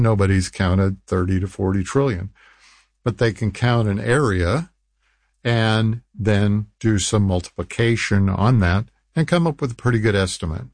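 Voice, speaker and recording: A man hosting a health infomercial, speaking in an emphatic, deliberate way and repeating key phrases. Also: fairly low pitch, dry recording. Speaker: health program host selling supplements. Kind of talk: infomercial radio talk, reading testimonials.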